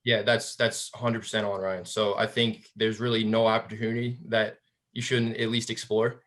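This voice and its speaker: A man's voice: on the low side, level tone, moderate pace, a bit bright. A man answering on a webinar panel as an alumnus, giving upbeat advice and often restarting his words.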